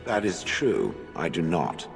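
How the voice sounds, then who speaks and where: A haughty, destructive giant creature in a video game, very deep and gravelly. The delivery is formal and deadpan, dripping with pomp. A long cavernous reverb trails each word. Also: male voice, a little slow, faint music behind, exaggerated voice. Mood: dry disdain. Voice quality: gravelly, resounding.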